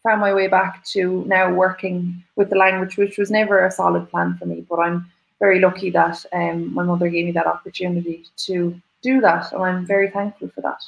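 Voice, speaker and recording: A woman's voice: lowish voice, level tone, normal speed, vocal fry, highs cut off. A young woman with an Irish Munster accent, chatting reflectively on a live stream with many fillers. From Munster, Ireland. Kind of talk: casual live-stream chat.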